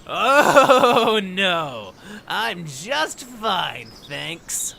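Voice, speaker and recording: A man's voice: sits high, sing-song, very slow, vocal fry, sharp tone, heavily acted. A man voicing a skittish, accident-prone little creature in an audio drama, with some noise in the recording. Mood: shaken.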